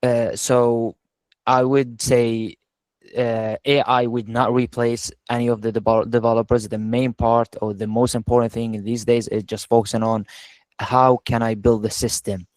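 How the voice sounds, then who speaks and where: A man from Syria speaks informally as a guest engineer on a webinar. His mid-pitched voice stays fairly even and plain-spoken, with a dry, close sound. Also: moderate pace, dry recording. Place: online video call.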